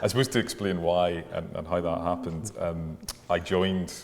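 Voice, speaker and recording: Middle-aged male, Irish with a Scottish tinge, low register, relaxed interviewee delivery, reverberant recording. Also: normal speed, vocal fry. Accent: Irish with some Scottish. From Ireland. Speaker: distillery manager being interviewed. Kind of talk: casual interview. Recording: long echoing reverb, faint background noise.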